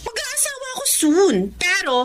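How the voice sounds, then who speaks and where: A high-voiced young Filipina eldest daughter, strict, industrious and ambitious. She speaks with a Filipino accent in radio drama style, over faint background noise. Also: very animated, moderate pace, a bit bright, full character voice. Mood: excited, determined.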